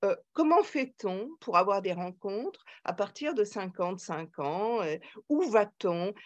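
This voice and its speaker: A woman's voice: mid-range voice, lively intonation, highs cut off. Older female guest. Thoughtful, emphatic psychoanalyst in conversation, feeling out each phrase. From Paris, France. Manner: emphatic, reflective, halting as she searches for words. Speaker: psychoanalyst and author, podcast guest. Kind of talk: conversational interview answers.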